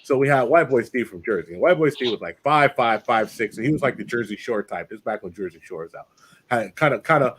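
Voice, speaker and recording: A man hosting a livestream in a loose, casual way, telling stories with plenty of fillers and restarts. He slips into quick put-on voices for the people in his anecdotes. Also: medium pitch, animated, a bit bright, slightly rough. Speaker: livestream host. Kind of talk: casual storytelling chat.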